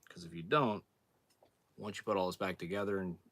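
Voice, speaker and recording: A hoarse man clearing his throat as he explains a cleaning job step by step in a relaxed, plain-spoken way, sometimes moving away from the camera mic. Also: low voice, a little fast. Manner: relaxed, plain-spoken, thinking aloud while working. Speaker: outdoors channel host. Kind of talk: casual hands-on demonstration.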